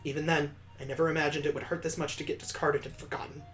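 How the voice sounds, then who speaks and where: A man's voice: a little high, little pitch movement, fast, fairly bright tone, natural voice. A man voicing a teasing student who acts theatrically wounded and self-pitying. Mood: mock hurt.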